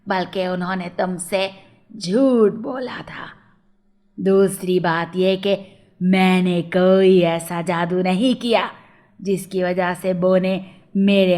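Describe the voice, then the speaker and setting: Slow, low-voiced witch acted by a woman. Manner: slow, drawn-out, emphatic delivery. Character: menacing female cave witch with a fair, honest streak. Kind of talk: children's moral storybook acting.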